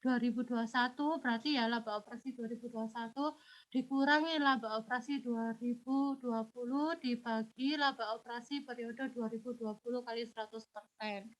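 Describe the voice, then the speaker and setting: A woman with a high voice works through exam answers for her students, patient and methodical. A cold leaves her sounding congested and slightly unclear. The recording is dull and lo-fi. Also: fairly even intonation, moderate pace. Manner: patient, step-by-step explaining, addresses students directly. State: has a cold. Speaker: teacher.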